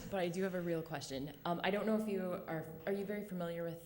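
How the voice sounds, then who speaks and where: A woman's voice: lowish voice, fairly even intonation, fast, a little mellow, a little hiss or hum. A woman in a live audience asking an informal question, choosing her words carefully and tentatively. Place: live event with studio audience.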